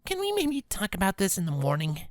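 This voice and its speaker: A man voicing a meek, affectionate young filly pony in a fan fiction story, speaking softly and pleadingly. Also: sits high, huge pitch swings, brisk, no room sound, studio quality, pitched above the performer's own voice. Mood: hesitant. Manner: soft, timid, pleading.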